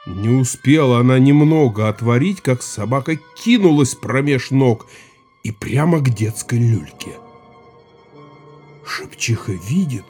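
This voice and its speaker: An actor playing a gruff, gray-haired village Cossack who spins folk tales in a rambling, colloquial, self-satisfied way in an audio drama. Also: male voice, mid-range voice, lively intonation, darkish tone, soft background music, natural voice. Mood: suspenseful, relishing. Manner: rambling, unhurried, colloquial, savoring the tale.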